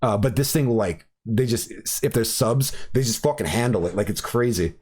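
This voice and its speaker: Male podcast host, loose rambling delivery, frequent swearing, mid-range pitch. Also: normal speed, darkish tone, husky. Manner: rambling, swears, lots of fillers and restarts. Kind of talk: casual chat.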